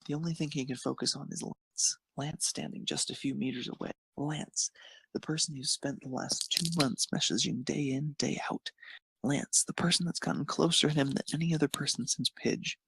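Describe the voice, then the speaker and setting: A man's voice: medium pitch, fairly even intonation, moderate pace, vocal fry, fairly bright tone, breathy edge, no room sound, rough recording. A man narrating a scripted piece of fan fiction as a storyteller.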